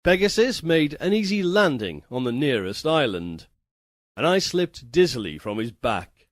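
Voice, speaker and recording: A man narrating a literary first-person story as an audiobook reader, with a measured, theatrical, formal delivery. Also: on the high side, sing-song, no echo, clean studio sound.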